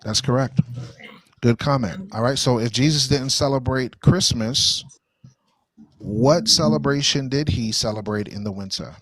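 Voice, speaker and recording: A man's voice: mid-range voice, measured pace, a bit bright, slight background noise, rough recording. A man hosting a call-in radio show, greeting callers and urging them on with energy. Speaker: host.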